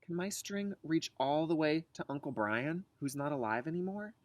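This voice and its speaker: A man's voice: a little high, animated, moderate pace, dry recording, dull audio, voice pushed up, lightly acted. A man voicing a curious, worried young child in a storybook, earnest and questioning. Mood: curious, unsure.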